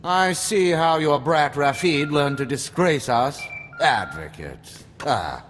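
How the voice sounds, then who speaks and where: An actor playing an older, disdainful noble uncle in a video game, formal and scornful. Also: male voice, a little high, lively intonation, slow, a bit bright. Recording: music under the voice.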